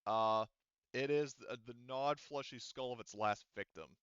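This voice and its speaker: A man's voice: mid-range voice, measured pace, a bit bright, clean tone, no echo, dull audio. A man running a tabletop roleplaying game as game master, casual and rambling with many fillers. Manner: rambling, lots of fillers and restarts.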